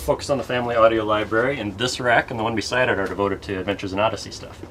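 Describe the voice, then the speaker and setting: A man's voice: fairly low pitch, fairly even intonation, rapid-fire, a bit bright, slight background noise, rough recording. A man casually explaining an audio library to visitors as a staff member showing them around.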